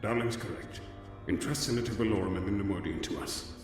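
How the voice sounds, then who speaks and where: A man playing a brisk, dependable Jedi knight in a dramatic comic dub. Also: fairly low pitch, lightly acted. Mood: resolute. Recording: echoey cave reverb, distant, faint music.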